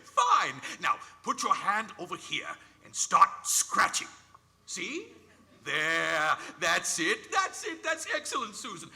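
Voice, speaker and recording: An actor playing a kindly, playful old Santa-like gentleman in a radio play, encouraging and chuckling, with hesitant, grandfatherly delivery. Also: high voice, huge pitch swings, slow, gravelly, full character voice. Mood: playful, delighted. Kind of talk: theatrical live radio-play acting.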